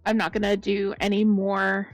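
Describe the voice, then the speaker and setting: Chatty female streamer, gravelly croaky voice, overloaded blown-out mic, dry sound. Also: medium pitch, monotone, normal speed, dry recording, soft background music. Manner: chatty, rambling, greets viewers by name. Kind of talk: casual stream chat.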